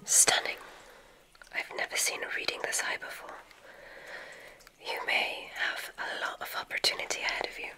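Whispering woman in witchy ASMR roleplay. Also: low-quality recording. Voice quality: breathy unvoiced whisper. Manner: gentle, drawn-out, effusively complimentary. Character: gracious, welcoming witch dean of a magic school.

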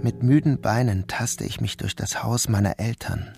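A man's voice: fairly low pitch, moderate pace, creaky, loud music behind the voice. A man narrating an audio drama in the first person, as its protagonist. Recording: noticeable background noise.

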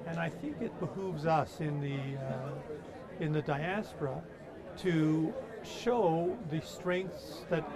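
An older man in his sixties, a guest outdoors at night, making an earnest, persuasive case with some hesitation. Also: fairly high pitch. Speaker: interview guest, community supporter. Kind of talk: casual chat. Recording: outdoor crowd noise.

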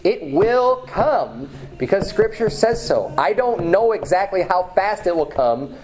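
A man in his thirties preaching a sermon from a pulpit, emphatic, repeating phrases and climbing in pitch when stirred. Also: very animated, measured pace, a little mellow, low-quality recording. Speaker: pastor. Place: small church hall with banner, indoors. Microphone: far camera, at a pulpit.

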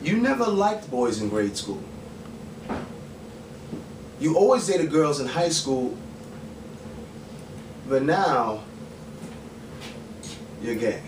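A man, performing dramatic spoken word poetry on stage in a theatrical, pointed manner with deliberate pauses. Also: mid-range voice, sing-song. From the Bronx, New York, USA. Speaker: spoken word poet and actor. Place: small dim bar stage, indoors. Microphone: far camera mic, stage mic unused beside him. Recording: roomy, distant camera mic with background noise.

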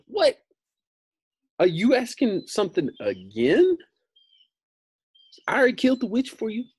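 Man playing young girl, storybook, raised pitch, indignant, exasperated, emphatic, incredulous. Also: wildly swooping pitch, measured pace, a little mellow, breathy edge, heavily acted.

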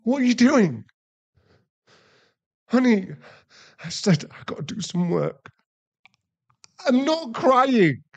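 A man playing a distraught, guilt-ridden werewolf boyfriend in an intimate ASMR roleplay, halting and pleading. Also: very high voice, very animated, creaky, breathy edge, dull audio, heavily acted. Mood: tearful, defensive. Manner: halting, pleading, emotionally fragile.

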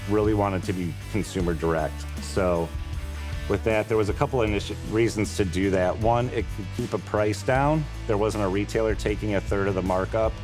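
A Midwestern American man explaining company strategy on camera in a brand film, lowish voice over guitar music. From Michigan, USA. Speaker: company team member. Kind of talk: explanatory documentary interview.

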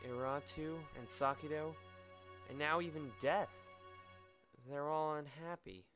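Woman voicing a simple, childlike demon boy, low voice. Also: steady tone, a little slow, faint music behind. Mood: glum. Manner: childlike, simple short phrases. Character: sweet, simple-minded young male demon. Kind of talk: radio play acting.

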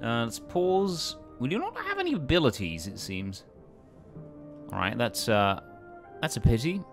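A man's voice: medium pitch, wildly swooping pitch, measured pace, soft background music. A man hosting a let's play with casual, hesitant commentary, thinking aloud as he goes.

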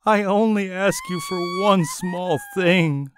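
A man's voice: high-pitched, takes it slowly, background noise, pitched above the performer's own voice. A man voicing a young, sick dragon queen who pleads through tears in a storybook reading. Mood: pleading. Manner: whimpering, tearful pleading.

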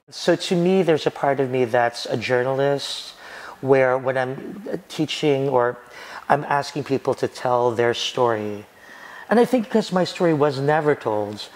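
A man's voice: medium pitch, rough recording. A man in his forties, a spoken word poet, talking animatedly and dramatically in a documentary interview. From United States. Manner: animated, dramatic, gesturing expressively. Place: restaurant booth and outdoor graffiti alley.

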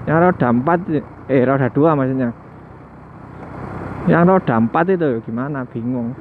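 A man keeps up casual chatter as he rides a motorbike at night. His voice sits at a middling pitch and comes through a thin, phone-like headset mic. Steady engine and traffic noise runs under every word. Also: normal speed. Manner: chatty, muses aloud, chuckles now and then. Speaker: motovlogger. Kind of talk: casual riding commentary. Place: on a motorbike on night city streets. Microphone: headset mic worn while riding. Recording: tinny helmet mic with loud road noise.

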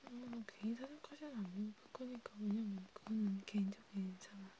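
A woman whispering softly in a casual, hesitant, gently caring chat for an ASMR roleplay. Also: mid-range voice, fairly even intonation, fast talker, background noise. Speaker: ASMR video creator.

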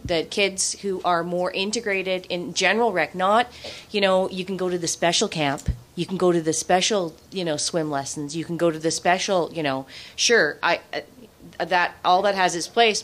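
A woman's voice: fairly low pitch, steady tone, normal speed, a bit bright. A woman in her forties answering on a discussion panel as a government representative, conversational, with many restarts and fillers, at a desk microphone in a conference room. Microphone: desk microphone on stand.

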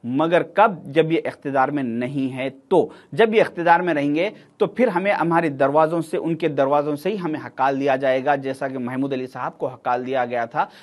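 A man's voice: on the high side, normal speed, few pauses. A middle-aged man, giving sarcastic, emphatic political commentary to camera in a studio.

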